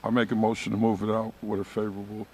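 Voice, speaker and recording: A man's voice: on the low side, completely flat delivery, fast, a little mellow, slightly rough. A man in his fifties, a councilmember, speaking in a reminiscing way with many fillers during council remarks. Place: council chamber.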